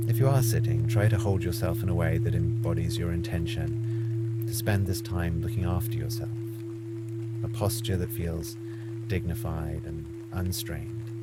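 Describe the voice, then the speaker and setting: A man with a low-pitched voice guides a mindfulness meditation. He gives gentle, measured instructions and leaves frequent pauses between phrases. Prominent music with singing bowl tones plays behind him.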